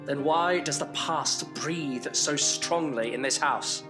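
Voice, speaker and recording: A man playing a weary, earnest Victorian gentleman in an audio drama, formal and probing. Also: on the high side, slow, music in the background. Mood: pleading, insistent.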